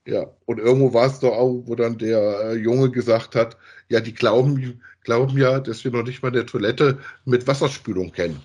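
A man's voice: mid-range voice, steady tone, moderate pace, hardly any pauses, darkish tone, breathy edge. A man co-hosting a podcast in casual discussion, giving his opinions in an emphatic, colloquial way and often seeking agreement. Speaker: podcast co-host reviewing audio dramas.

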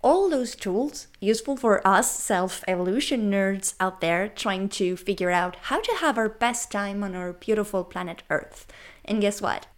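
A woman's voice: medium pitch, animated, measured pace. French-accented woman, podcast host, playful and upbeat, rising questions to the listener, mid-range voice. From France. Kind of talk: scripted solo podcast talk.

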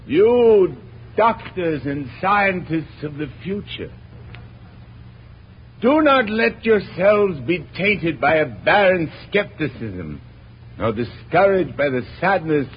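A proud old man of science in a 1940s radio play. His delivery is slow and theatrical, swinging widely in pitch with passionate emphasis. The old broadcast sounds dull, with music behind it. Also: slight background noise. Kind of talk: 1940s radio drama acting.

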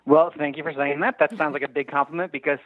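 A man calling in as a guest writer, talking casually in a halting, self-effacing, rambling way. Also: fairly high pitch, fairly even intonation, dry recording. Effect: phone line. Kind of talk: casual phone interview. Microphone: telephone call-in. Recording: thin, dry phone-line sound.

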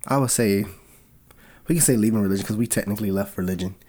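A man co-hosting a podcast with his wife, a little raspy from a cold, talking in an earnest, loose way with many fillers. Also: fairly low pitch, fast, dark tone. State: has a cold, slightly raspy. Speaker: podcast co-host. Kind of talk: casual podcast chat.

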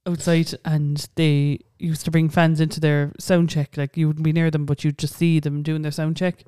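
A chatty Irish woman in her late twenties, talking casually on a podcast and filling her sentences with "like" and the odd swear word. Her voice is smooth and clear, recorded dry and close with no room sound. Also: low-pitched, little pitch movement, moderate pace, dark tone, no echo. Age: late 20s. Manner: chatty, swearing, says "like" a lot. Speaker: podcast co-host. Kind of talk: casual chat.

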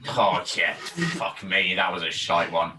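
A young man with a lowish, forceful voice in casual sports banter. He is blunt and matey, swearing freely. He sits a little away from the microphone. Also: slow, far from the mic, slight background noise. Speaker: football podcast co-host.

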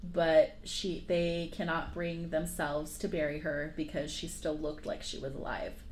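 A woman retells a dark fairy tale as a podcast host. Her delivery is informal and slangy, peppered with joking asides. The room adds noticeable echo, and faint noise sits behind her. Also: low voice, little pitch movement, measured pace.

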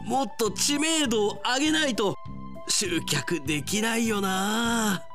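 A man's voice: high voice, slow pace, faint music behind, voice pushed up. A man playing an earnest, anxious theme park owner in a radio drama, speaking politely and expressively. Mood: wistful, musing. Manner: polite, expressive, musing aloud.

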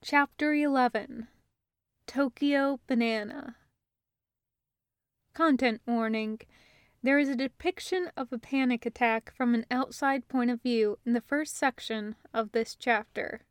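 A woman's voice: fairly high pitch, unhurried, smooth, dry recording, studio quality. A woman narrating a story as a reader of fan fiction audiobooks.

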